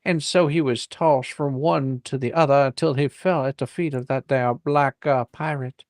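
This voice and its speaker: Man putting on a creaky folksy backwoods yarn-spinner. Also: medium pitch, steady tone, normal speed, darkish tone, no room sound, clean studio sound, natural voice. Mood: rollicking, comic. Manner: rambling, rustic, ungrammatical.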